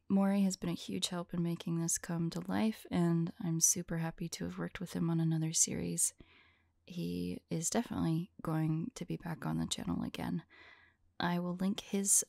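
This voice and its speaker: A woman's voice: on the low side, vocal fry, warm tone, no echo, clean studio sound. A woman hosting her own audio channel, talking casually to her listeners in an outro. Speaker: channel host and voice performer.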